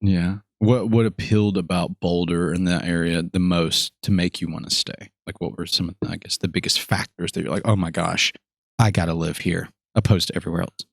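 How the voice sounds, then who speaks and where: A man with a very low voice hosts a casual podcast chat, rambling cheerfully and stumbling over his own words. His voice sounds dry and close to the mic, with no room sound. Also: steady tone, fairly quick, creaky, no room sound. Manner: rambling, self-correcting, upbeat. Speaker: podcast host.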